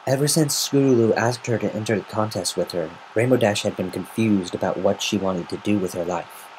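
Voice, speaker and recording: A man's voice: lowish voice, a little fast, darkish tone, slight background noise, rough recording. A man narrating a story in a semi-dramatic amateur reading. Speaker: amateur fan fiction reader.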